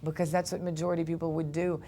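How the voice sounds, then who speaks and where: A young man speaks English with a gravelly, creaky edge. He tells his story earnestly, picking up speed in animated bursts, with a faint rumble in the background. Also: fast talker. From Ukraine. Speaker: guest. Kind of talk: personal testimony in a studio interview.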